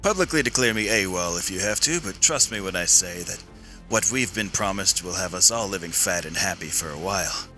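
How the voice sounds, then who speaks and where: Man playing a sharp, cocky young lieutenant. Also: sits low, lively intonation, brisk, faint music behind, poor audio. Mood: persuasive, assured. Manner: cocky, self-assured, formal with superiors. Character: confident, insubordinate noble lieutenant in his mid-twenties. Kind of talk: sci-fi radio play acting.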